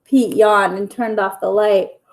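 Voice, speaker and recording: A woman storyteller reading a picture book aloud to young children in simple, deliberate phrases. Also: medium pitch, steady tone, a little hiss or hum.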